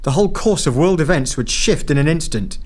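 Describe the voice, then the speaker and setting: A man's voice: a little high, lively intonation, a little fast, breathy edge. A man playing a stern, terse, aloof agent who guards time in an audio drama.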